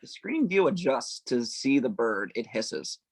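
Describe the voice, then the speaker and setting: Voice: medium pitch, sing-song, unhurried, gravelly, dry recording. A narrator reading stage directions informally at a casual table read, a little amused.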